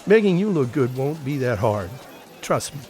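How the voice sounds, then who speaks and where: A man's voice: medium pitch, big pitch swings, moderate pace, a little mellow. A man acting in an audio drama as a breezy, broke beach sketch artist with wry, casual banter. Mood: breezy salesman patter. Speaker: actor.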